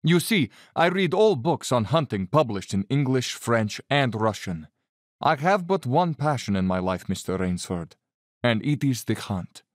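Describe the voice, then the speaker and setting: An actor in an audio drama playing an urbane Cossack general and hunter, courtly and self-satisfied, with quiet menace. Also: male voice, mid-range voice, very animated, unhurried, no echo, clean studio sound. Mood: proud, reminiscing.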